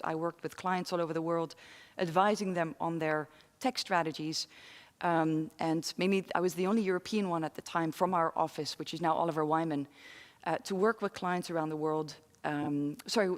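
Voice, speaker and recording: A woman in her forties from London and the Netherlands giving a talk to an online audience, pausing often as she speaks. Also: lowish voice. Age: 40s. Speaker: guest speaker. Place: studio with very few people present. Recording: reverberant studio hall.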